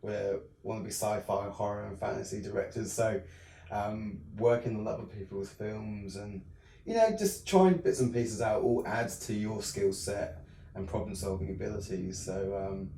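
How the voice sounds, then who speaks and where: A man's voice: lowish voice, moderate pace, warm tone, slight background noise. A young adult man from England, a filmmaker guest in a casual interview, talking hesitantly with many fillers and restarts. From southern England. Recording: roomy, distant mic.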